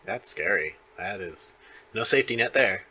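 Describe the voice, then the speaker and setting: A man's voice: fairly low pitch, expressive, moderate pace, bright, forceful tone, breathy edge. A man hosting a casual interview, talking like an enthusiastic fan. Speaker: interview show host. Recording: music playing behind the voice.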